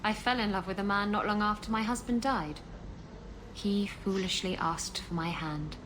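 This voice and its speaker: A woman's voice: medium pitch, normal speed, noisy background, lightly acted. A woman playing a worldly, guarded adventuress in a video game, dry, wry and flippant. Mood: wry, bitter.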